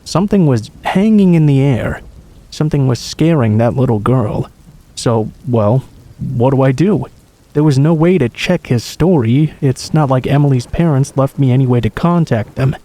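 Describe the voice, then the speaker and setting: A man narrating a true scary story in the first person, measured and suspenseful. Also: mid-range voice, animated, dark tone.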